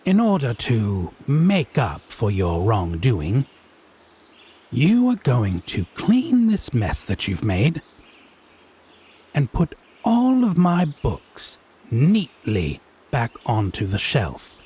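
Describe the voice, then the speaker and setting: An actor playing a haughty, teasing male Fae in an audio roleplay, speaking in an arch, pompous, theatrically drawn-out way. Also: medium pitch, huge pitch swings, warm tone, slightly rough, exaggerated voice. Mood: commanding, teasing. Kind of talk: scripted audio roleplay drama.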